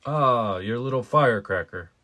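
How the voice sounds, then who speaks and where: A man's voice: medium pitch, expressive, moderate pace, sharp tone. A man voicing a friendly, chatty old male cafe worker in a story reading. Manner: familiar, chatty small talk.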